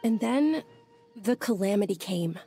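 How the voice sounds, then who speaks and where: A woman playing a gentle, polite young follower of a deity in a video game, speaking with soft, hesitant courtesy. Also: mid-range voice, lively intonation, unhurried. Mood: earnest storytelling. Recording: faint music behind the voice. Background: background music.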